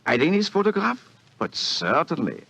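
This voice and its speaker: An actor playing a pompous, anxious king in a 1940s radio drama, with halting, stately, formal speech. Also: on the high side, wildly swooping pitch, gravelly, sharp tone, husky, exaggerated voice. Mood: relieved, gracious.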